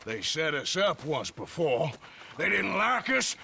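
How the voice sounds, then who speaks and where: Gruff, wildly expressive outlaw cowboy. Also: male voice, a little high, measured pace, slight background noise, full character voice. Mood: angry. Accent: American. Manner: blunt, confrontational. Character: gruff, quick-tempered American outlaw cowboy. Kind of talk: dramatic video game acting.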